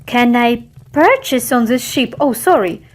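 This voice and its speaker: Woman as a flustered, polite father, animated pitch. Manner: halting, apologetic. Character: polite, flustered travelling father struggling with foreign words.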